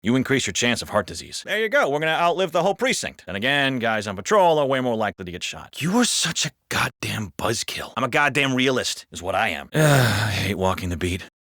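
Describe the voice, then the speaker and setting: A world-weary male patrol cop from a video game. He bickers with very animated intonation and a bright, tense tone. The sound is dry and close, the delivery sardonic and sweary. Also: mid-range voice, fairly quick, hardly any pauses, no room sound. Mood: wry, bickering. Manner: sardonic, profane, conversational bickering. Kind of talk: video game character barks and banter.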